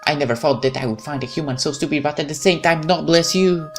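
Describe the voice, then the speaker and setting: A woman's voice: low voice, quick pace, faint music behind, voice pushed up. A woman voicing a gloating, seductively malicious supernatural mistress in a visual novel, haughty, theatrical and gleeful. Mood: smug, commanding.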